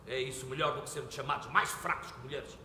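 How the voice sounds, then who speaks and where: An actor playing a stern, proud aging king who speaks with commanding scorn and bursts into shouting. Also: medium pitch, fairly even intonation, fast talker, a little hiss or hum. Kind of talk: stage play performance. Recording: echoey hall with faint ambience.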